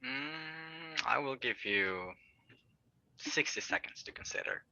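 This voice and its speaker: Man playing a gravelly, sharp-edged smug space villain. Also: on the high side, huge pitch swings, no echo, lo-fi sound, exaggerated voice. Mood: smug, menacing. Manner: smug, mock-courteous, deliberate. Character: polite, menacing male tycoon villain in space. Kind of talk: audio drama table read.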